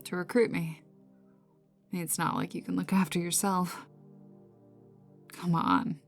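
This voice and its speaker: A woman voicing a tough, bitter, sardonic young female villain in an intimate ASMR audio roleplay, often dropping into breathy half-whispers and swearing. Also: lowish voice, little pitch movement, slow. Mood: wry, teasing. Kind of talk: intimate ASMR roleplay, one side of dialogue. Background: ambient music.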